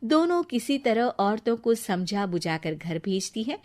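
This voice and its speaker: A woman's voice: a little high, big pitch swings, unhurried, darkish tone. A woman narrates a scripted story. She serves as the storyteller, setting out each scene in narration.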